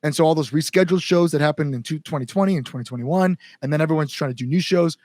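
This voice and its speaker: An American man talks in a casual interview as a band's front-man. His voice sits a little high for a man and is recorded very dry. He speaks earnestly and informally. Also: very fast, darkish tone, no echo. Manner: earnest, informal with mild swearing.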